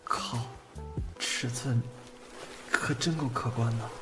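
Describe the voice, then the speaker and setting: A man's voice: mid-range voice, slow pace, loud music behind the voice, lightly acted. A man playing a shy, eager young boyfriend in a Chinese audio drama, given to flustered stammering. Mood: anxious.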